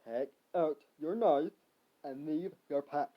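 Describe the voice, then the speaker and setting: A storyteller voicing a bossy, urgent male spirit that speaks in slow commands. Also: mid-range voice, muffled recording. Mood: commanding.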